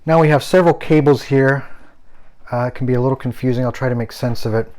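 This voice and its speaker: A man reviewing a gaming headset, showing its parts and explaining them in a relaxed, conversational way with a few "uh" fillers. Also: medium pitch, quick pace. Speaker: tech product reviewer. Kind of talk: hands-on product review.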